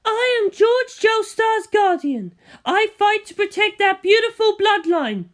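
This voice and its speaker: A man voicing a fierce, defiant young woman fighter with a declamatory, forceful delivery in an audiobook. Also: very high voice, big pitch swings, measured pace, voice pushed up, full character voice. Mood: defiant, triumphant. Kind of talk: audiobook character acting.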